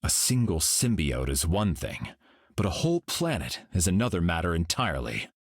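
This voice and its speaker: An actor voicing a serene, solemn golden cosmic hero for a video game, speaking in a calm, measured, grave way. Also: male voice, low voice, husky, no echo, studio quality, full character voice.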